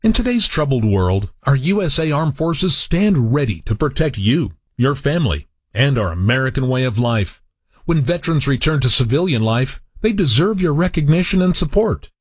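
A man announcer reading a charity radio advert in an earnest, measured way. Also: medium pitch, big pitch swings, slightly rough, dry recording, studio quality.

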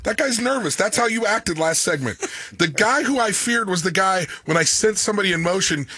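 A man in his thirties co-hosting a sports radio show, talking in a booming, animated way and laughing as he argues. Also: a little fast, a little hiss or hum. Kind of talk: casual sports talk banter.

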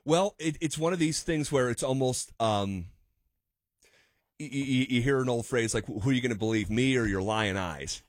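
A male sports radio host talks through his analysis at a medium pitch, often restarting and repeating words as he builds a point. The studio sound is close and dry, with no room around him. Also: moderate pace, no echo. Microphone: studio microphone.

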